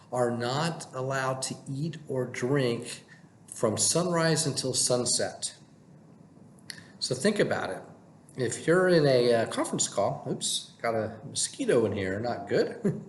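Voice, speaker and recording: A man talking casually to camera as a vlogger giving advice, with halting delivery and frequent hesitations. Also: mid-range voice, expressive. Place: indoor room with plain wall, working from home. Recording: echoey room with faint background noise.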